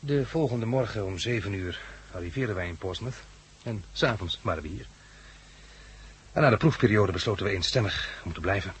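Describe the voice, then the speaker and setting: A man's voice: fairly low pitch, animated, brisk, breathy edge. An actor playing a resolute, reassuring male survivor and narrator in a 1960s Dutch radio drama. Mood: earnest, hopeful. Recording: noisy 1969 radio play, vehicle sounds.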